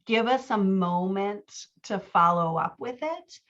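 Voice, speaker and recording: A woman's voice: mid-range voice, slow, a bit bright, dull audio. A woman giving a conversational manuscript critique as a writing coach, explaining and thinking aloud. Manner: explanatory, thinking aloud, often checking with "right?". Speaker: writing coach and podcast host.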